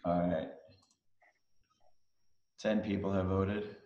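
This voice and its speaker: A man's voice: low voice, little pitch movement, darkish tone, lo-fi sound. Danish-born middle-aged man on a video call. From Denmark. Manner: casual, halting, many fillers and restarts. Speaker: guest speaker. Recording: roomy echo on a video call.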